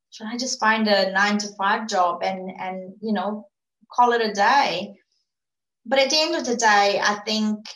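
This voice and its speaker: A woman's voice: mid-range voice, a bit bright, reverberant, rough recording. A woman who runs a PR agency, an immigrant whose first language is not English, answering interview questions earnestly with many fillers and restarts. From immigrant to Australia. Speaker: PR agency owner, guest.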